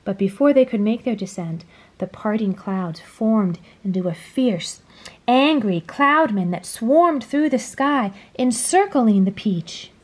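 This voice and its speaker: A woman reading a children's story aloud in a dramatic, deliberate storytelling manner. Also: on the high side, lively intonation, darkish tone, slight background noise. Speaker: storybook reader. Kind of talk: scripted children's story read-aloud, expressive.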